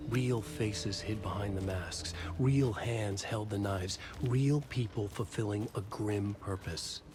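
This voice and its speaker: An actor playing a haunted, brooding writer who narrates a horror story gravely in a video game. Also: male voice, lowish voice, background noise, lightly acted. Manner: grave, ominous delivery. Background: loud music.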